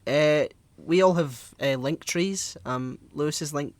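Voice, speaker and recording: A man's voice: a little high, slow, no room sound. A young man co-hosting a podcast interview in a jokey, upbeat way. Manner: jokey, upbeat, uses "wee". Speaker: podcast co-host. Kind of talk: casual interview chat.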